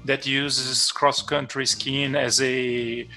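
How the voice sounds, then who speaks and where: A man hosting an interview podcast, asking questions with halting restarts. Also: medium pitch, big pitch swings, creaky, pushed and bright, faint music behind, rough recording. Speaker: podcast host.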